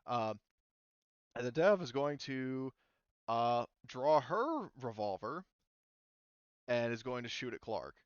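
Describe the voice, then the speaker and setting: A man's voice: medium pitch, animated, takes it slowly, pauses often, clean tone, no echo, highs cut off. A man running a tabletop game as game master, casually narrating turns and rules, hesitantly thinking aloud as he goes.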